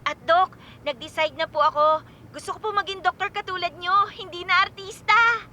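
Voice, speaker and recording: A woman playing an excitable, respectful young girl patient who admires her doctor, in a radio drama. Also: very high-pitched, normal speed, slight background noise, heavily acted. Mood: earnest, eager. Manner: eager, respectful, gushing.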